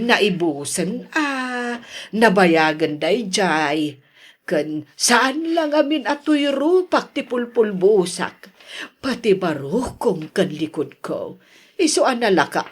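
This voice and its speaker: Woman playing expressive, slow-talking older Ilocano mother. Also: mid-range voice, husky, exaggerated voice. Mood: wistful, playful. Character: older mother reminiscing about her lost beauty, lively and teasing. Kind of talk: Ilocano radio drama acting.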